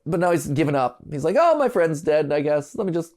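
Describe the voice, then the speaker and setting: Animated, incredulous man reacting to a video. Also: a little high, fairly quick. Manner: incredulous, joking, exclamatory. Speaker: reaction show host. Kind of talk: excited reaction commentary.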